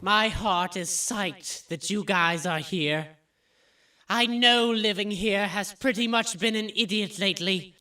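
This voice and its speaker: A man's voice: high voice, sharp tone, distant mic. A man playing a smarmy, scheming, fat small-town mayor giving pompous speeches in a comic audio drama.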